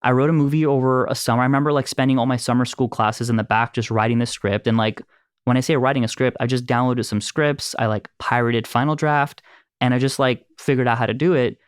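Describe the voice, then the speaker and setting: An animated man in his thirties from Los Angeles tells stories about his early filmmaking days with a resonant, smooth voice. His pitch sits in a medium range, and the sound is close and dry. He laughs easily and keeps the conversation light. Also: brisk, no echo. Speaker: filmmaker and producer. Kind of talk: casual conversation, storytelling.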